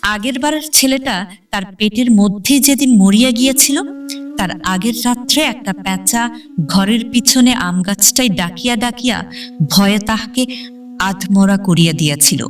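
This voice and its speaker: A woman's voice: mid-range voice, normal speed, distant mic. A woman reading literary narration in a dramatic, scripted audio-story style. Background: background instrumental music.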